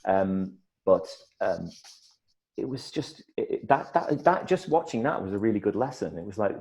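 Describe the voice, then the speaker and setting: A middle-aged man, an actor, telling stories in a casual interview, lively and full of restarts. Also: on the low side, moderate pace. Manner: animated storyteller, many restarts and repeated words. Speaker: actor guest.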